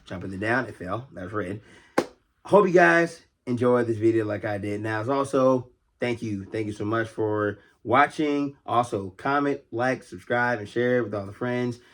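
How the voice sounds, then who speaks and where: A man's voice: mid-range voice, moderate pace, a bit bright. An American man hosting a casual, unscripted vlog as a toy collector, talking in a rambling, conversational way and often repeating himself. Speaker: toy collector and video host.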